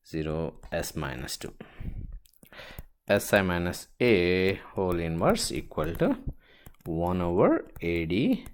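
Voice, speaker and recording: A man teaching a step-by-step worked example as an instructor, halting and thinking aloud as he writes. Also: deep voice. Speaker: engineering instructor.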